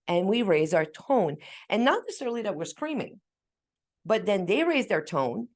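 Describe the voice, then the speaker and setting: A middle-aged woman chatting casually as a co-host, telling stories in an animated, emphatic way and acting out what people said. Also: mid-range voice, normal speed. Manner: animated storyteller, acts out quoted exchanges; talkative, emphatic, self-described loud speaker. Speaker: parent sharing parenting tips as co-host. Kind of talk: casual conversational talk.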